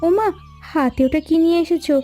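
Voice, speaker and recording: A woman's voice: very high voice, lively intonation, fairly quick, dark tone, faint music behind. A woman voicing an anxious, caring wife from a poor village household in a cartoon folk tale. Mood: surprised, curious.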